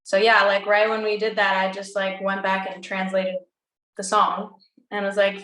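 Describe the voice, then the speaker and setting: Young american woman, greek first language, chatty with many "like"s, mid-pitched voice, roomy echo. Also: monotone, normal speed, fairly bright tone. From United States. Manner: bubbly, lots of "like" and "um". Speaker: recording artist guest. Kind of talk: casual interview chat.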